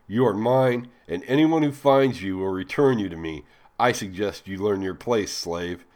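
Guy doing a cold bossy master, short harsh orders, deep voice. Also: moderate pace, a little mellow, close to an everyday voice. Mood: commanding. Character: cold, commanding male slave owner.

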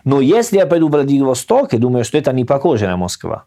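A man from southern Italy, a native Italian speaker with an Italian accent, talking casually in a learned language with many hesitant pauses. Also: medium pitch, wildly swooping pitch, dark tone. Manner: hesitant, halting non-native phrasing. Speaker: guest. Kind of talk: casual conversation.

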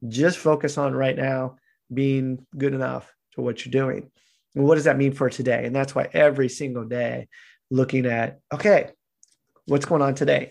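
A man's voice: mid-range voice, creaky. A man, a fitness coach and podcast guest, explaining his training approach in an earnest, coaching way, now and then acting out what a trainee tells himself. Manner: earnest, coaching, acts out inner dialogue. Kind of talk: casual explanatory chat.

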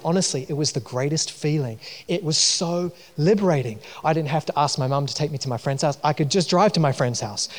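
A man from New Zealand with a New Zealand accent, preaching a sermon in a conversational way, with many fillers and restarts. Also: on the high side. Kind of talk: sermon with personal story. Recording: long hall reverb.

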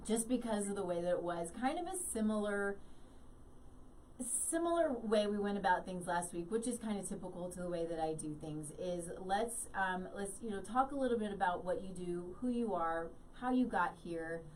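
A woman's voice: mid-range voice, expressive, moderate pace, darkish tone. A woman hosting a live show, bubbly and laughing, rambling with fillers as she introduces her guests. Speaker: host. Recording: distant mic, faint noise.

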